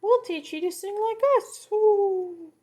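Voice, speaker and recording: A woman voicing silly, easily startled pigeons in a children's story, with fluttery drawn-out coos. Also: extremely high pitch, a little mellow, voice pushed up. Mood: inviting. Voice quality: cooing.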